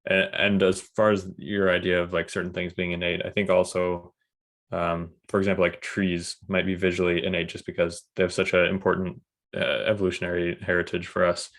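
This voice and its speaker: Man, very deep voice, gravelly, monotone, thoughtful podcast host. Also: brisk. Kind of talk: casual conversation.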